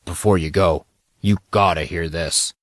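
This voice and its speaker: Casting: man for gossipy, eager teen boy in audio drama, conspiratorial, lowish voice, dry close sound. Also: steady tone, measured pace, no room sound. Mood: excited. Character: eager gossiping teenage schoolboy with a big secret.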